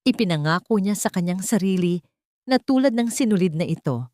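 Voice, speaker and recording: A woman narrating a scripted dramatic story in a measured, solemn storyteller's delivery. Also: medium pitch, animated, warm tone, dry recording, clean studio sound.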